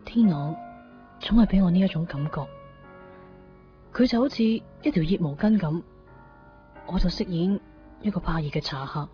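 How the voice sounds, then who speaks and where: A woman acting a conflicted young woman in a Cantonese radio drama, speaking with a Hong Kong accent in halting, introspective phrases. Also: on the low side, lightly acted. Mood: brooding. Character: conflicted young woman torn in love, brooding and evasive. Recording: dull lo-fi 1990s radio recording, music behind. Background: background music.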